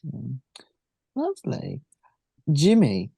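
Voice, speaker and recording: A man hosting a podcast, interviewing casually with halting, repeated phrasing. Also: on the high side, wildly swooping pitch, creaky, dark tone, slightly rough, no room sound. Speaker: podcast host.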